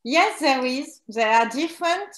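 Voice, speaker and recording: A woman with a French accent, a native French speaker, explaining fashion PR haltingly with many fillers and restarts. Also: high voice, animated, fairly bright tone, reverberant. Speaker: fashion PR guest. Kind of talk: hesitant conversational explaining.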